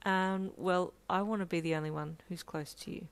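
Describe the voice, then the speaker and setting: A woman's voice: fairly low pitch, steady tone, moderate pace, clean tone, lightly acted. Woman voicing a shy, earnest young man in love. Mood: shy, hesitant. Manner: hesitant, stammering, soft-spoken.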